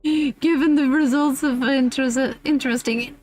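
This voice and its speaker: A woman's voice: high voice, steady tone, moderate pace, darkish tone. A woman streamer reading a comic list aloud on a livestream, giggly and often breaking off into casual asides.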